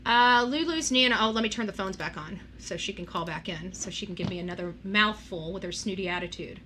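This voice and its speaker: A woman's voice: medium pitch, a little fast, sharp tone, slight background noise. A woman hosting a live call-in show in a casual, blunt, opinionated way.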